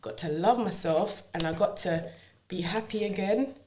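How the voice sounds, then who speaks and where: A woman from Jamaica speaks as a podcast guest, her voice sitting fairly low. She tells her story earnestly and reflectively on a studio mic, often pausing to ask whether she is understood. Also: a little mellow, slight background noise. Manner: earnest and reflective, often asks "you know what I mean". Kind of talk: personal life story in a casual interview.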